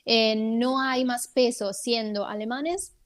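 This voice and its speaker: A woman's voice: on the high side, fairly even intonation, slow pace. A woman with an Italian accent, a native Italian speaker answering interview questions as a guest politician, explaining things at length with frequent hesitant fillers.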